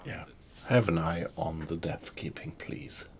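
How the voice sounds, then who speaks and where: A man with a German accent, chatting with viewers while he plays a submarine game, in a slow, hesitant way. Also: low-pitched. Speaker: game streamer. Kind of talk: casual live-stream commentary. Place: home room at night.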